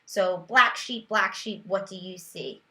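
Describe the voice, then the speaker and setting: A woman teacher demonstrating a children's read-aloud, moving between explanation and expressive, sing-song reading with questions for a child. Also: medium pitch, measured pace. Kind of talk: read-aloud lesson with reading tips for parents. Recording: roomy echo.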